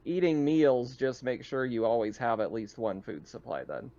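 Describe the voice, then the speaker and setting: Male game master, medium-pitched, casual and explanatory tabletop talk, dull recording. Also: normal speed, warm tone.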